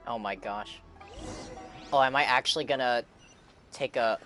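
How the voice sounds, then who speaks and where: A man doing casual live game commentary as a streamer, thinking aloud in a halting way. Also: mid-range voice, pushed and bright. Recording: faint game music behind.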